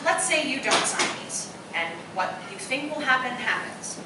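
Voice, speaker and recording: A very reverberant, noisy live recording carries a woman's higher-pitched voice. An actress plays a blunt skeptic who still believes in love, speaking in a stage radio drama style from some distance. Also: expressive, moderate pace. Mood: challenging, exasperated. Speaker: actor. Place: roomy live performance space, far from mic. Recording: very echoey, distant live recording, clear noise.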